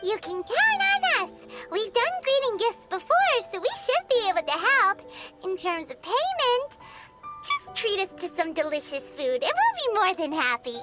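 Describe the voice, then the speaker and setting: Very high squeaky fairy sidekick. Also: female voice, big pitch swings, moderate pace. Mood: cheerful, eager. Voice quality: squeaky, childlike. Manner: perky, refers to self in third person. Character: tiny cheerful childlike fairy companion, food-loving, eager, chatty. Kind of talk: anime video game acting. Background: background music.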